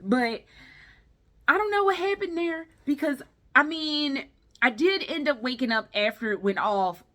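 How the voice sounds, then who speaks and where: A woman's voice: sits high, lively intonation, measured pace, fairly bright tone. A tired woman giving a casual, rambling review in a vlog, blunt and freely profane as she talks. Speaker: video game and movie vlogger.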